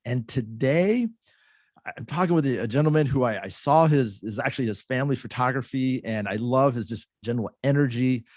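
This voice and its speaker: A man's voice: medium pitch, a little fast, darkish tone, dry recording, studio quality. A man hosting a podcast interview, friendly and often stumbling over his words. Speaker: podcast host. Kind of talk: casual interview.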